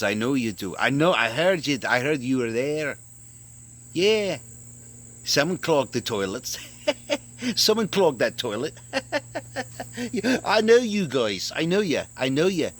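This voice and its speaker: A man's voice: medium pitch, sing-song, unhurried, long pauses, pitched above the performer's own voice. A man voicing a gossipy male leprechaun with a put-on Northern Irish lilt, folksy, rambling and chuckling. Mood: wheedling.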